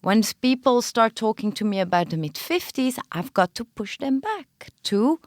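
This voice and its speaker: A woman playwright and film director, talking earnestly in an interview and pausing often to find her words. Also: on the high side, sing-song, no room sound, clean studio sound. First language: not Hebrew. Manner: earnest, searching for words with frequent pauses. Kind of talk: podcast interview conversation.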